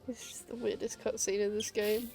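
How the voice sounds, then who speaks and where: A woman's voice: medium pitch, little pitch movement, normal speed, creaky, over music. A woman giving casual, reactive, sweary gameplay commentary as a livestreamer. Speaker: game livestreamer.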